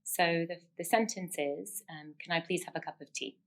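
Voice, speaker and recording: A woman's voice: fairly low pitch, moderate pace. A woman giving step-by-step instruction as a speech therapist, explanatory and encouraging.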